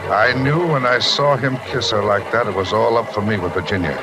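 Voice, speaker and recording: A man's voice: lowish voice, fairly quick, over loud music, natural voice. An actor playing a folksy middle-aged American railroad man who justifies himself talkatively, in old-time radio drama style. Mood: haunted, intense. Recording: noisy, hissy vintage radio broadcast.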